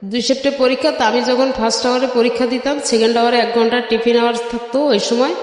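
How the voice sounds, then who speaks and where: A woman's voice: on the high side, steady tone, fast. A middle-aged Bangladeshi woman telling her life story in an interview, step by step, with frequent filler phrases. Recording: very echoey, long reverb.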